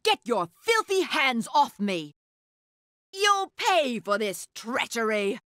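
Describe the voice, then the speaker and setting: Imperious, swooping villainess. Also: female voice, on the high side, big pitch swings, a bit bright, no echo, studio quality, heavily acted. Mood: venomous threat. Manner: imperious, threatening, drawn-out. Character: haughty, cruel female slave mistress, fantasy villain. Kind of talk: dramatic video game villain acting.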